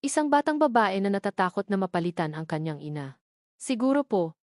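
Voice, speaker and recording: A woman reads a scripted dramatic story as its narrator. Her pitch sits in the middle range for a woman, and the sound is dry and close, free of room echo. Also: very animated, quick pace, darkish tone.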